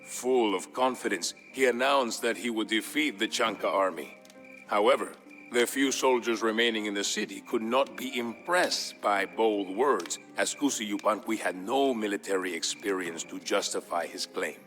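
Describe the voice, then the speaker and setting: A man narrates a dramatic historical story in a fairly low voice. His delivery is measured and solemn, and music plays softly behind him. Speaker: narrator of a game campaign storyline.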